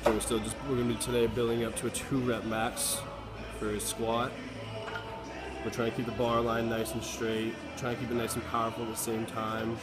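Even-toned male trainer over loud music. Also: medium pitch, fairly quick. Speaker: fitness trainer, coach. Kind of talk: instructional exercise commentary.

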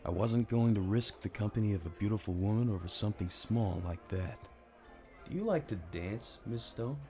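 An actor playing a world-weary, hard-drinking detective in a noir radio play, with wry, understated delivery. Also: male voice, fairly low pitch, normal speed, mellow, soft background music, close to an everyday voice. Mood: wry, brooding. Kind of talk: noir radio drama narration.

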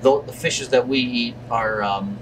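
Man in his thirties, distant, over loud hum. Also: mid-range voice, unhurried. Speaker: deli owner being interviewed. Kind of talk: casual interview answers. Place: indoors in a deli, seated at table. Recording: distant mic, loud hum of air conditioning.

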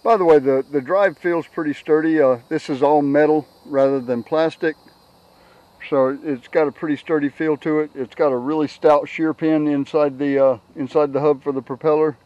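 A middle-aged man giving a casual, unscripted product walkthrough outdoors on a camera mic, halting and repeating words as he goes. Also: on the high side, fairly even intonation, darkish tone, muffled recording. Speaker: product reviewer, kayak owner. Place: outdoors on a grassy yard. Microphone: handheld camera's built-in microphone.

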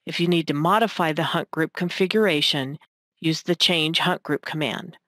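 A woman reading scripted narration for a technical demonstration in a measured, instructional manner. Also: low voice, sharp tone, dry recording, studio quality. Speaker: software tutorial narrator.

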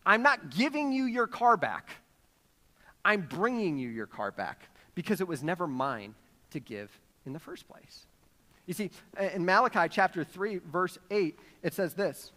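A man's voice: a little high, sharp tone. An American man in his thirties preaching as a pastor in a conversational yet emphatic way, slipping into casual storytelling from a church stage. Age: 30s. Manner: conversational, emphatic, checking in with "okay?" and "right?". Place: large church auditorium stage. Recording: long hall reverb.

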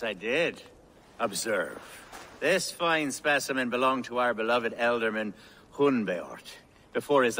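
An actor playing a genial, ingratiating wealthy Saxon lord in a video game, with an effusive, flowery manner. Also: male voice, medium pitch, sharp tone, slight background noise. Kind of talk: video game drama acting.

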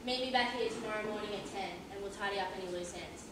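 A woman playing a brisk, dry, authoritative police inspector in an amateur stage play, recorded at a distance in an echoey theatre. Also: medium pitch, noisy background, close to an everyday voice. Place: large theatre stage, far camera.

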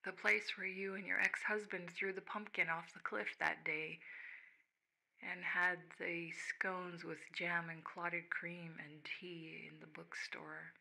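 A woman giving a soft, reflective ASMR monologue addressed to the listener, with pauses. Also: lowish voice, flat delivery, vocal fry, low-quality recording. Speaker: ASMR host speaking as the listener's higher self.

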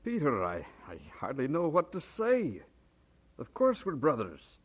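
An actor playing a kindly, helpful older brother with a husky voice in an old-time radio drama. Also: male voice, a little high, huge pitch swings, a little slow, over loud music. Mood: warm, helpful.

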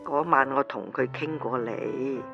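A woman playing a worried, conciliatory older mother in a radio drama, speaking haltingly. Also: a bass voice, gravelly, dull audio, full character voice. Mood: gently pleading. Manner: hesitant, stammering, placating. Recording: music playing behind the voice. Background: music.

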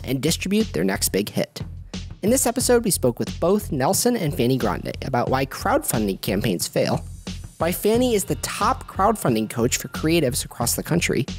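A man reading a scripted show introduction in an upbeat promo manner, with music under him. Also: on the high side. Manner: upbeat, lively promo read. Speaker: show narrator. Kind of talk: scripted narration. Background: soft music.

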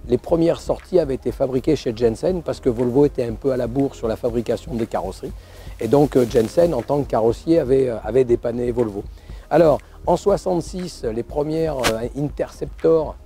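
Hoarse older man hosting a car show, warm low tone, chatty and halting. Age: 60s. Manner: hesitant, many fillers and restarts. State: hoarse from laryngitis. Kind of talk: casual storytelling to camera.

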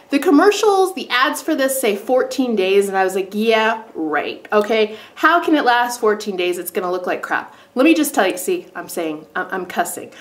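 A woman in her thirties with a cold and a congested voice, chatting casually through a beauty product review from a sunroom at home, speaking to a camera on a tripod, animated and full of self-deprecating asides. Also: a little high. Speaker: beauty vlogger. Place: bright sunroom at home, indoors. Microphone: camera on tripod facing her.

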